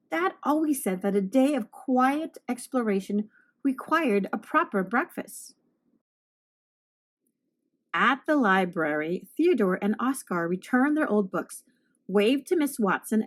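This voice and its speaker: A woman narrating a children's picture book aloud in an expressive storytime style. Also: fairly high pitch. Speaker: storybook reader, host.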